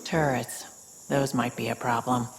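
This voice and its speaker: Casting: hardened, pragmatic mercenary sister in a sci-fi game, low female voice over noisy ambience. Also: steady tone, measured pace. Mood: wary, practical. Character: tough pragmatic turian mercenary woman, protective older sister. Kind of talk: video game voice acting.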